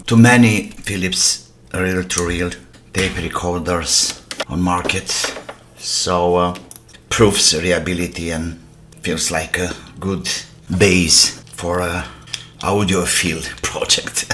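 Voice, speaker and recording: A man, an English speaker, thinking aloud in a casual, halting way as he hosts a hands-on repair vlog, muttering asides to himself. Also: low-pitched, a bit bright. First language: not English. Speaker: host of an electronics repair channel. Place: indoor workbench. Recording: noisy workbench with clicks and clatter.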